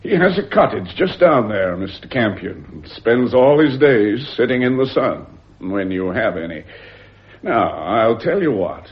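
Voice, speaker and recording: An actor playing a brisk, genial gentleman professor with an authoritative manner in a 1950s British radio drama. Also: lowish voice, sing-song. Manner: brisk, organising. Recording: muffled vintage radio recording.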